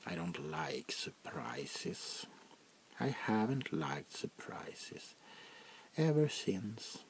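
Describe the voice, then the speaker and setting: A man playing a haughty, centuries-old French vampire prince in a soft-spoken, half-whispered ASMR roleplay, speaking deliberately and with condescension. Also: low voice, exaggerated voice. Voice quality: gravelly, breathy half-whisper. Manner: slow, deliberate, condescending, drawn-out pauses.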